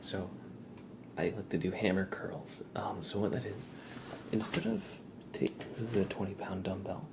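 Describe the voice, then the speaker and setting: A man hosting an ASMR livestream, speaking in a hushed, breathy whisper as he chats with viewers. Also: deep voice, normal speed, noisy background. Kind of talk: ASMR, live chat with viewers.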